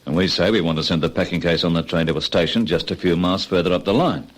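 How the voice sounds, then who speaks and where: An actor playing a cocky, impatient Australian criminal ringleader in an old radio crime drama, talking colloquially and persuasively. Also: male voice, sits low, fast talker. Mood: insistent, scheming.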